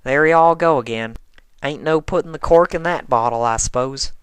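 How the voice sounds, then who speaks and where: Casting: man as fond, hard-working farm pony mare, folksy drawl, grumbling and teasing, storybook cartoon style, pitched up. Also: normal speed, a bit bright, smooth, dry recording, lightly acted. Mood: wry, teasing. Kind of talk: fan fiction character dialogue.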